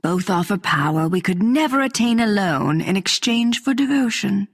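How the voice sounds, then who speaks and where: An actress playing a poised, probing dark elf priestess in a video game, speaking in a measured, questioning manner. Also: female voice, medium pitch, expressive, husky. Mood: cool, probing.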